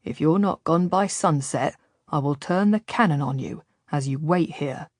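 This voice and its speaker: A woman as a low, stern fort captain. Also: moderate pace, a little mellow, dry recording, studio quality. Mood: defiant. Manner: commanding, blunt.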